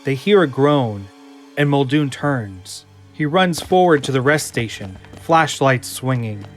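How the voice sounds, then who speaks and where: Male audio drama narrator: measured, slow, suspenseful, medium register, clear musical score behind. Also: medium pitch, lively intonation, soft background music. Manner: deliberate, suspenseful.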